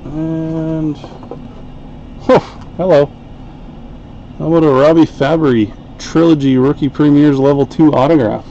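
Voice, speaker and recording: A man hosting a live card break, calling out each card in an unhurried, chatty way. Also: a little high, steady tone, dark tone, dull audio, a little hiss or hum. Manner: unhurried, chatty play-by-play while opening packs. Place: home card-break room, indoors.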